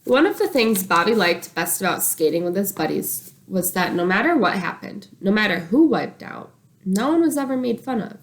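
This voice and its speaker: Woman reading aloud with lively, swooping intonation. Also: medium pitch, normal speed. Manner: expressive, acts out the dialogue. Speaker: teacher reading chapters aloud. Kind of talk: animated children's book read-aloud.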